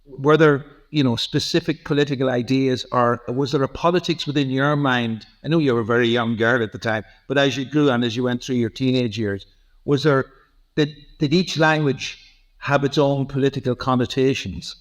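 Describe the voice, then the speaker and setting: A man's voice: mid-range voice, fairly even intonation, moderate pace, highs cut off. A man interviewing a guest, asking thoughtful questions with some hesitation.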